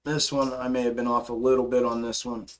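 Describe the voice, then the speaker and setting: A hoarse man hosting a stock market livestream, casually walking through charts and answering chat, calling viewers bro and man. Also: mid-range voice, little pitch movement, brisk, soft background music. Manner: laid-back, calls viewers bro and man. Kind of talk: casual live chart commentary and chat replies.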